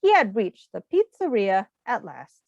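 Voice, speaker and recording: A woman reading a picture book aloud to young children in an expressive, teacherly way. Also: medium pitch, unhurried, creaky. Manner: expressive, asks the listeners questions. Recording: muffled, echoey room sound.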